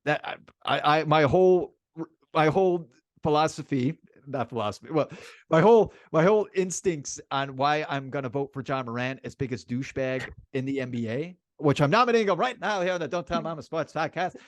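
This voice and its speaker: A man's voice: on the high side, animated, fairly quick, no room sound, clean studio sound. A man co-hosting a sports podcast in casual, opinionated chat, emphatic and informal, repeating phrases for emphasis.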